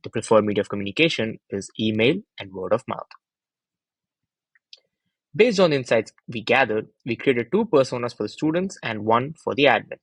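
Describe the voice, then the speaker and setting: Deep husky young man narrating a presentation. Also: no echo. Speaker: student narrator presenting team research.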